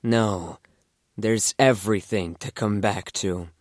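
A man's voice: lowish voice, fairly even intonation, takes it slowly, no echo, clean studio sound. A man playing a bookish, devoted young man in love in a fan audio drama. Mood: tender.

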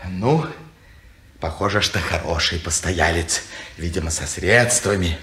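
A man's voice: low voice, sharp tone. An actor playing a fussy, pious watchmaker, who is unctuous to guests and bossy with his household. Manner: unctuous, drawn-out speech. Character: fussy pious male watchmaker, bullying at home. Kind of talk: 1950s radio play acting. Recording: echoey old radio studio.

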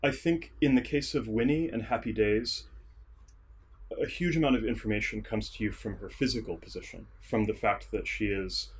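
Husky, low-voiced man explaining thoughtfully. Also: normal speed. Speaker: podcast guest, theatre scholar and director. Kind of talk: thoughtful analytical interview talk.

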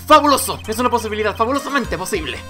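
Flamboyant male game magician, played by a man, theatrical and exclamatory, pitched up and bright. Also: expressive, rapid-fire, faint music behind. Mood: excited, flamboyant. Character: flamboyant, dramatic male circus magician, defensive defendant.

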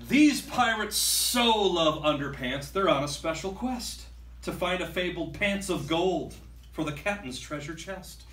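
Animated man in an echoey classroom. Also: fairly high pitch, unhurried, far from the mic. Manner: expressive, playful. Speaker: guest reader for a class of young children. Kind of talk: animated picture-book read-aloud with chatty asides. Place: school classroom, standing before seated children. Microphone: distant camera microphone. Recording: echoey classroom from afar, faint noise.

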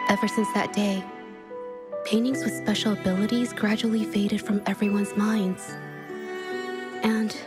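A young woman playing an earnest, gentle painter in a game, soft-spoken and measured in her reflective delivery. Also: medium pitch, close to an everyday voice. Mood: wistful, resolute. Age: young adult. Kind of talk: dramatic game dialogue and reflective narration.

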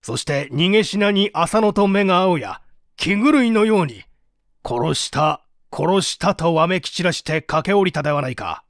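A man's voice: high voice, expressive, moderate pace, no room sound, studio quality. A man playing a stern, relentless prosecutor with an authoritative, pressing manner in a dramatised mystery reading. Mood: angry, accusing. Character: stern male prosecutor, relentless, accusing interrogator. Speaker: audiobook reader.

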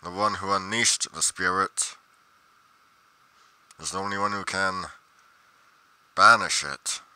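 A man's voice: low-pitched, steady tone, slow, bright, forceful tone, clear, resonant tone, no room sound, heavily acted. A man playing a pedantic, musing paranormal investigator narrating his journal theatrically in a game roleplay, pompous and dramatically emphatic. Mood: grave, dramatic.

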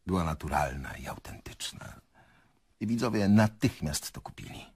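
A man's voice: low voice, animated, moderate pace, a little mellow, husky, dry recording, heavily acted. An actor playing an older male theatre director in a radio play, earnest, hesitant and reflective. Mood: reflective, confessional.

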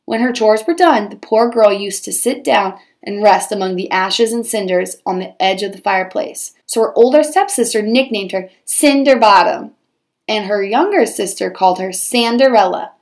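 A woman teacher reading a children's storybook aloud with expressive, dramatized storytelling. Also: mid-range voice, moderate pace.

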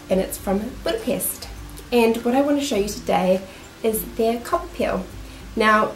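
Nasal New Zealand woman, roomy echo. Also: medium pitch. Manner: chatty and enthusiastic, shows products to camera. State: nasal from a cold. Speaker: beauty vlogger. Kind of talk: casual product review talk. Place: small room indoors, seated.

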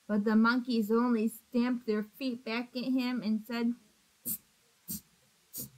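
A woman in her thirties reading a children's picture book aloud in an expressive, deliberate manner, recorded indoors at arm's length from a computer mic. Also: fairly high pitch, rough recording. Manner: expressive, deliberate, asks the young listeners questions. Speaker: storybook reader. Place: small indoor room with block walls. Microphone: webcam or laptop at arm's length.

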